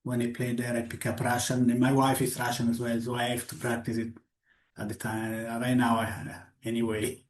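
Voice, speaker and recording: A man, a goalie coach answering interview questions haltingly with many fillers. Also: medium pitch, completely flat delivery, slight background noise. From Switzerland. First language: Italian. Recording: roomy echo.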